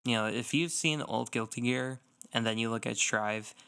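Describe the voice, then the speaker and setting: A man co-hosting a casual podcast, talking through his opinions in an explanatory, measured way. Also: mid-range voice, sharp tone, clean tone. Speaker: podcast co-host. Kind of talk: casual gaming podcast chat.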